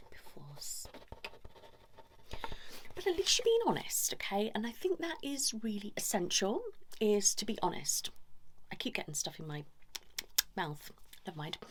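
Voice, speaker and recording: A woman speaking softly and often whispering in an ASMR role-play as a chatty couples therapist, gently scolding. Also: fairly low pitch. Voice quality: soft, breathy, often whispered. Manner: chatty, mock-concerned, gently scolding, hushed. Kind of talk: ASMR role-play, one-sided improvised conversation.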